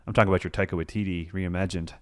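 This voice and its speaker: A deep-voiced man co-hosting a podcast, talking in an easygoing, self-deprecating way. He chats loosely with his friend, pitched low. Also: steady tone, very fast. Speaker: podcast co-host. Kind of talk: casual chat.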